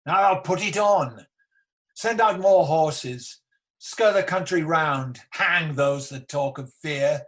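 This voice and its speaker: A man's voice: on the high side, fairly bright tone. An amateur actor playing an ageing, embattled tyrant king in a Shakespearean radio play, declamatory, defiant and world-weary. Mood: agitated, commanding. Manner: declamatory, slow, theatrical.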